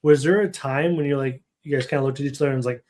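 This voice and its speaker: A man's voice: medium pitch, racing, a little mellow. A man hosting a podcast, asking eager, casual questions full of "like"s. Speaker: podcast host.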